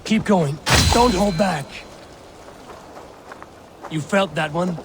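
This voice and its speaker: An actor voicing a tough, honorable Viking leader in a video game, with a commanding, challenging manner. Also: male voice, sits high, slow. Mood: goading. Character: tough Viking warrior leader, goading yet honorable mentor. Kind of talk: dramatic video game acting. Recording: clearly noisy game background ambience.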